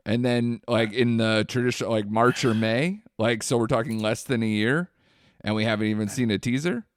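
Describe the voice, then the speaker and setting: A man's voice: mid-range voice, fairly even intonation, normal speed, smooth, no echo, studio quality. A man co-hosting a podcast, talking loosely in casual chat, swearing now and then and trailing off. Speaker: podcast co-host.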